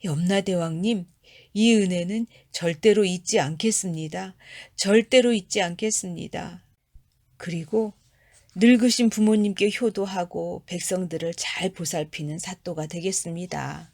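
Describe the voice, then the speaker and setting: Woman doing a desperate male magistrate, stammering, begging, high-pitched, loud, storybook. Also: unhurried. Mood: pleading. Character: panicked pleading male magistrate.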